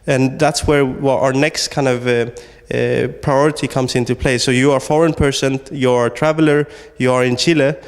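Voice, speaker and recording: A man's voice: medium pitch, steady tone, normal speed, few pauses, clean tone, in a large hall. A man from Sweden, a startup founder, answering interview questions hesitantly with many fillers and restarts.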